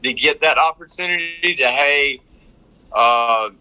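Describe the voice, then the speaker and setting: A man's voice: a little high, measured pace, sharp tone, smooth, muffled recording. A man with a North Carolina Southern accent chatting casually about sports, rambling and repeating phrases for emphasis. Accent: North Carolina Southern American. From North Carolina, United States. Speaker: sports fan panelist.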